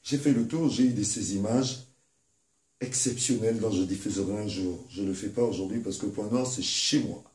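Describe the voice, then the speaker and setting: A man's voice: on the low side, a little fast, a little mellow. A man hosting an interview, putting emphatic and courteous questions to his guest. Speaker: host. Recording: roomy echo.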